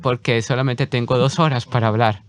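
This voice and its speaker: A man interpreting a guest preacher's sermon for a congregation, speaking haltingly with frequent fillers. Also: mid-range voice, steady tone. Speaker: church interpreter.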